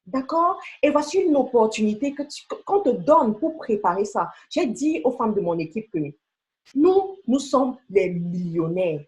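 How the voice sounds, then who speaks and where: A woman's voice: fairly high pitch, very animated, measured pace, a little mellow. A woman giving a motivational sales training talk, emphatic and coaxing, speaking straight to the listener. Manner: emphatic, coaxing, addresses listener directly with rhetorical questions. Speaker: beauty sales team leader and trainer.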